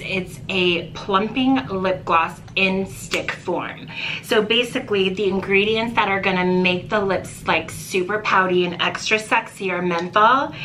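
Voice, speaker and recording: A woman's voice: medium pitch, pushed and bright, slight background noise, rough recording. A young woman, a makeup store manager, explaining a product in an upbeat, informative way in a store. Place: department store makeup counter, indoors.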